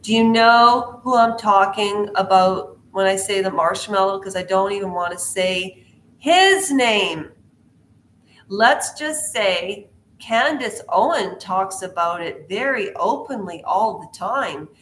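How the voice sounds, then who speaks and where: A woman's voice: mid-range voice, expressive, takes it slowly, fairly bright tone, slight background noise. A woman vlogging pop culture commentary in a rambling, casual way, jumping between tangents and firing questions at her viewers. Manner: chatty, jumps between tangents, quizzes the viewer. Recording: echoey room.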